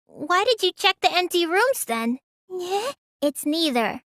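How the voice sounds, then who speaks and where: A woman's voice: extremely high pitch, sing-song, unhurried, fairly bright tone, dry recording, clean studio sound, exaggerated voice. A woman voicing a petite, stubborn teenage girl magician in an English anime game, with a languid, childlike, slightly pouty delivery. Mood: upset, questioning.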